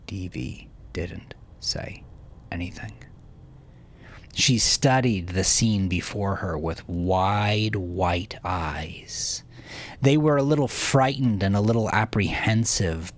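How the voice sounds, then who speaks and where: Male audiobook narrator, deepish, very measured pace, theatrical swings from hushed to forceful, slightly noisy recording. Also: vocal fry. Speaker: narrator of a fiction story. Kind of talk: dramatic scripted audiobook narration.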